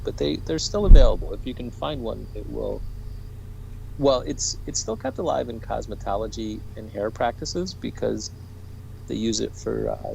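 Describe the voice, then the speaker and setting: A man's voice: on the low side, creaky, a little mellow, slight background noise, poor audio. A man speaking as a podcast guest, friendly and a little stammering.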